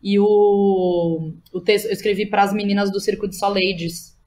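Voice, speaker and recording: A woman's voice: mid-range voice, fairly even intonation, a little slow, a little mellow. Informal Brazilian woman thinking aloud. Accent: Brazilian Portuguese. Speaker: guest, playwright. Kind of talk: casual podcast interview about own work.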